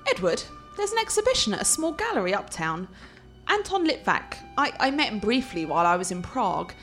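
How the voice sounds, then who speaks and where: A woman's voice: a little high, sing-song, fairly quick, a bit bright, soft background music. A woman playing a cultured, confident art scholar and teasing fiancée in a radio play, articulate and playful. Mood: enthusiastic.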